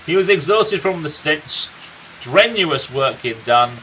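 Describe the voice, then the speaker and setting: A man playing a gruff, irritable older villager in a video game, reading out a tale in a blunt storytelling manner. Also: on the high side, expressive, measured pace, sharp tone, a little hiss or hum, low-quality recording. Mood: dramatic storytelling.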